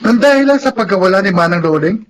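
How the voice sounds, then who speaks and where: Radio drama role: cold, incredulous brother, notably high voice. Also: male voice, expressive, fast. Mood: indignant. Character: cold, incredulous adult brother, self-interested and dismissive. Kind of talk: Tagalog radio drama acting.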